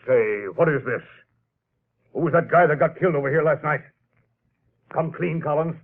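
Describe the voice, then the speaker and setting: A gruff police commissioner in an old radio play, blunt and skeptical. His voice is husky and a little high, and he talks in a tough, clipped way. The sound is tinny, like an old broadcast. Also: moderate pace. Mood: brusque. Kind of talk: 1930s radio drama acting.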